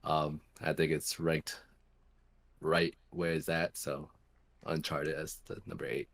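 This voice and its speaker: A man's voice: rumbling low, level tone, slow, a crowd in the background. A man who is sick, co-hosting a podcast in giggly, casual chat. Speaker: podcast co-host.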